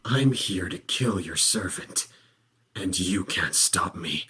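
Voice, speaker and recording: A man's voice: sits low, animated, slow pace, bright, forceful tone, a little hiss or hum, exaggerated voice. A man playing a menacing rival vampire in an audio roleplay, smug, mocking and theatrical as he taunts his prey. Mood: taunting.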